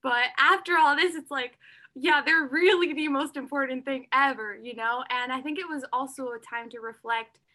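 A woman's voice: high-pitched, moderate pace, bright, forceful tone. A young woman singer-songwriter answering interview questions, earnest and open, often saying "like" and "you know". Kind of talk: interview conversation.

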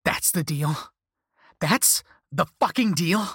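A man's voice: mid-range voice, expressive, a little slow, fairly bright tone, husky, dry recording, clean studio sound. A man acting a desperate, angry cursed protagonist in a story, speaking in a heated, emphatic, strained way. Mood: furious.

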